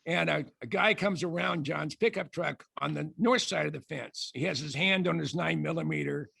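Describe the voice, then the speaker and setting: Guest speaker, older man, very gravelly and husky voice, dry close sound, halting storyteller. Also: a little high, bright, forceful tone, no room sound. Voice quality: raspy, gravelly voice. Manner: halting, many restarts and fillers. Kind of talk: rambling anecdotal presentation.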